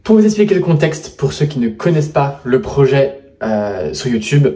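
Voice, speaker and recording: A man's voice: mid-range voice, very animated, normal speed, warm tone. A man casually talking to camera as he walks through an apartment he is renovating, explaining as he goes. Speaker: renovation vlogger. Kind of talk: casual walkthrough talk to camera. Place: apartment indoors.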